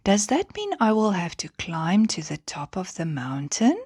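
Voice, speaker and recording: A woman's voice: lowish voice, wildly swooping pitch, measured pace, a little mellow, slightly rough, dry recording, clean studio sound, full character voice. A woman voicing a shy, nervous young male bunny who tries to be brave, in a children's bedtime story. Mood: nervous, hesitant. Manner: hesitant, nervous.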